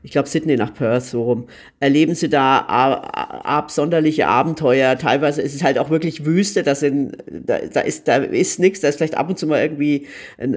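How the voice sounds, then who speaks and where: A man co-hosting a podcast, giving a casual review and often hesitating and repeating words as he searches for phrasing. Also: on the high side. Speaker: podcast co-host recommending series.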